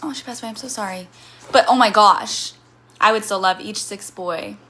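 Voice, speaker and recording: A woman's voice: mid-range voice, moderate pace, pushed and bright. A woman in her thirties from Missouri with a slight Missouri accent, chatting casually on a livestream and answering chat comments in a rambling way. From Missouri, USA.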